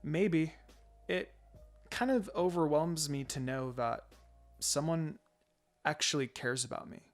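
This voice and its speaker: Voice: medium pitch, takes it slowly, soft background music, lightly acted. A narrator voicing a shy young female ninja who talks in a hesitant, rambling way. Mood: softly touched.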